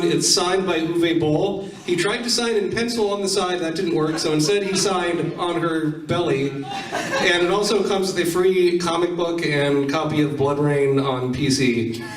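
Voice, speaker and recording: A man's voice: a little high, moderate pace, distant mic, a little hiss or hum. A man hosting a live panel, talking casually to the room at a convention. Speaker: panel host. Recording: roomy hall, picked up from afar.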